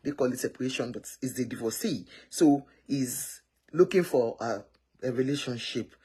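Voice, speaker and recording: A woman's voice: extremely low pitch, moderate pace, mellow, husky. A woman hosting a casual live matchmaking stream, rambling and often correcting herself.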